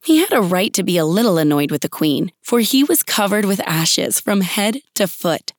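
A woman's voice: medium pitch, big pitch swings, moderate pace, no echo, clean studio sound. A woman narrating a children's story as an audiobook reader.

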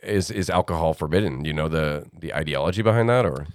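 A man's voice: extremely low pitch, quick pace, no echo, clean studio sound. A man hosting a podcast, asking curious questions in casual chat and laughing often. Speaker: podcast host.

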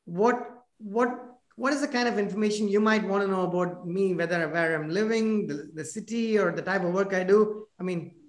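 This engineer wanders through thoughts out loud, full of fillers, restarts and tag questions, in a relaxed video-call chat. The voice sits at a middle pitch. The sound bounces around an echoey room. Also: moderate pace, few pauses. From abroad, now living near Washington DC. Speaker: software engineer asking questions. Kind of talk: casual video-call conversation.

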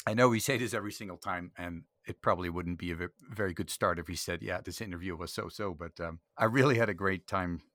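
A man co-hosting a casual podcast, easygoing and quick to laugh. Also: sits low, a bit bright, dry recording, studio quality. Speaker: podcast co-host.

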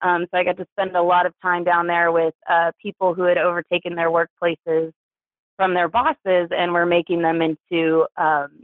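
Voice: medium pitch, monotone, moderate pace, dry recording. An American in their thirties from New Mexico, telling personal stories as an interview guest in a candid, hesitant way. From New Mexico, United States. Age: 30s. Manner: hesitant, chuckles through hard subjects. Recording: tinny phone-like radio sound.

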